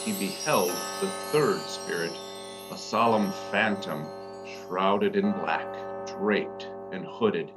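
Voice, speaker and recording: A man playing a solemn storybook narrator, reading a scripted ghost story in a measured way. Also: sits low, rough recording. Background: music.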